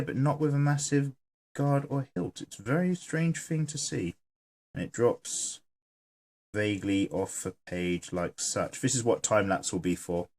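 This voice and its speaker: A man hosting his own channel, chatting casually and joking while he sketches and reads viewer comments aloud. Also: lowish voice. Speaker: artist and channel host.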